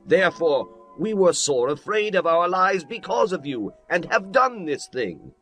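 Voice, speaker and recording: A man doing a lively-voiced ancient speaker. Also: high voice, unhurried, soft background music, heavily acted. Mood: fearful. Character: fearful Gibeonite men, then a scheming king.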